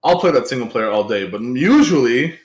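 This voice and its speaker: A man's voice: animated, a little fast, echoey room. A man chatting casually as a podcast guest about gaming and family, joking and acting out quoted voices. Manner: joking, acts out quoted voices with big pitch jumps. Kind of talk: casual chat.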